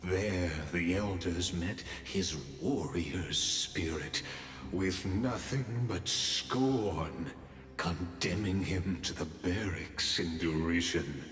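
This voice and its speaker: Epic game narrator: low, solemn, extremely unhurried, heavy reverb. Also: male voice, a bit bright. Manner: solemn, measured, dramatic pauses. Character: grave male narrator of an epic legend. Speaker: story narrator. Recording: echoey hall reverb over music. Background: music.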